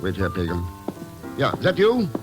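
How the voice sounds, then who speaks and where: An actor playing a terse adventurer, matter-of-fact and dryly polite, in an old radio drama. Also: male voice, deep voice, wildly swooping pitch, normal speed. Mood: brisk. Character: cool, terse American adventurer investigator. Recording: vintage radio recording, faint music.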